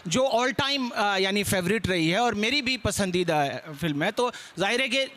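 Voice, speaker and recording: A man's voice: sits high, lively intonation, normal speed, pushed and bright. A man speaking as a panelist at a seminar, talkative and given to digressions.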